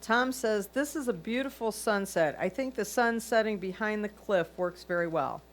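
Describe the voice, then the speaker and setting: A woman's voice: mid-range voice, normal speed. A woman reading out a judge's written comments. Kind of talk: reading written critique aloud.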